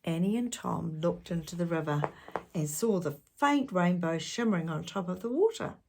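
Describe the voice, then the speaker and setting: A woman reading a children's storybook aloud, with measured, expressive narration for young listeners. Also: fairly low pitch, background noise.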